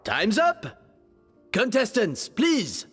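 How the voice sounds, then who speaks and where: Game cooking judge: ceremonious, discerning, lively pitch, long reverb. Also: male voice, breathy edge, soft background music, full character voice. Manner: theatrical, measured announcing. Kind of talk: game voice acting.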